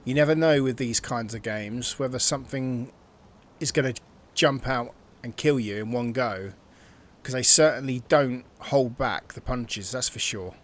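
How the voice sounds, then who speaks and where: A man giving casual, excitable commentary while playing a video game and thinking aloud. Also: medium pitch, a little slow. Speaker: gameplay streamer. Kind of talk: casual let's-play commentary.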